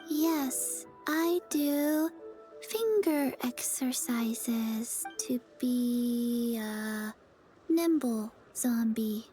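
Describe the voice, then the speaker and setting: A woman voicing a small, sleepy, forgetful zombie girl in an anime-style video game, with halting, deadpan, childlike delivery. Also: a little high. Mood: placid. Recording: game dialogue over music.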